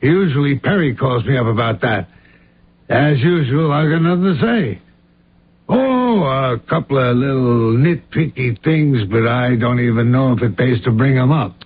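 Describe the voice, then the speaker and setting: An actor playing a folksy, cynical police inspector in a radio drama, chummy, slangy and offhand. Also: male voice, medium pitch, lively intonation, moderate pace, breathy edge. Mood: chummy, breezy. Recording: muffled lo-fi radio drama recording.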